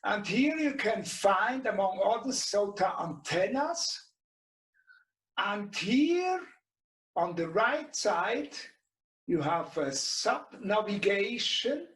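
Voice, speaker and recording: Middle-aged male tutorial presenter, Swiss German accent, native German speaker, halting and slow, recorded in a reverberant room. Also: sits high, very animated, a bit bright. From Switzerland. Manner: halting, searching for words in non-native English. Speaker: webinar presenter and radio shop co-owner. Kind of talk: informal product tutorial. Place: small indoor radio workshop. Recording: echoey room, distant mic.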